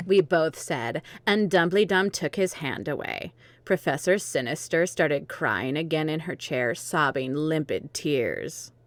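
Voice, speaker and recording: Woman, deep voice, dry close sound, group dramatic reading narrator. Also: lively intonation, measured pace, no echo. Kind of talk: dramatic reading of fan fiction with amused commentary.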